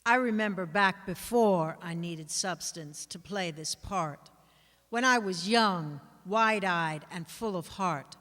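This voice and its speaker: Actor for dramatic poetry reading, slow delivery, long hall echo. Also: mid-range voice, pushed and bright. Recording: reverberant hall recording.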